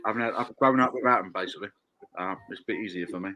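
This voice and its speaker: A man's voice: lowish voice, fast talker, sharp tone. A man joining a casual live stream chat, jokey and playful, acting out little bits as he talks. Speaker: co-host guest. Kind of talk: casual banter. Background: faint country music.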